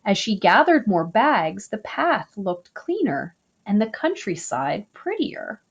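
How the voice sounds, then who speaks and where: A woman reading a children's picture book aloud, slow, gentle and expressive. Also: medium pitch, husky, lo-fi sound. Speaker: storybook reader.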